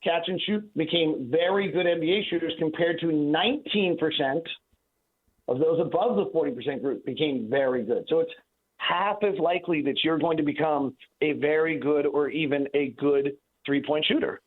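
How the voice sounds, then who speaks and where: Guy talking sports stats over a phone line, kinda high voice, argues his point hard and repeats it. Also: moderate pace. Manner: emphatic, repeats himself for stress, argumentative. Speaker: sports analyst guest. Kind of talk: radio sports analysis, conversational.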